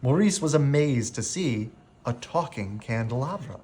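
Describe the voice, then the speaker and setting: A man narrates a children's story in a mid-pitched voice. He reads slowly and expressively, with very lively rises and falls suited to bedtime tales. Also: husky. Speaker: storybook reader.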